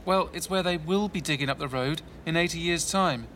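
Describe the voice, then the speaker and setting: A woman's voice: deep voice, fairly bright tone, slight background noise. An actress playing an exasperated, stubborn young woman in an audio drama. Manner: exasperated, quick.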